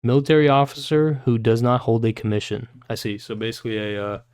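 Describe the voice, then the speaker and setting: A man's voice: medium pitch, quick pace, darkish tone, smooth, no echo. Casual male streamer, dry close sound. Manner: informal, swearing, breaking off into puzzled asides. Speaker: livestreamer. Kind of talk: casual livestream chat and reading a novel aloud.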